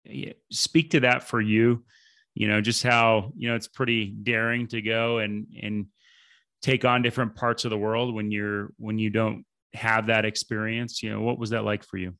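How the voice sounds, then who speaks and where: A man's voice: fairly low pitch, level tone, moderate pace, creaky, pushed and bright, dry recording. A man hosting an interview, asking long, rambling questions full of fillers. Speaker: podcast interviewer.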